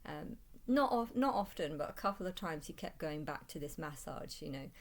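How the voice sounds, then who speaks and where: Woman, low voice, rambling vlog monologue, halting delivery, frequent repeats and restarts. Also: animated. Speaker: vlogger.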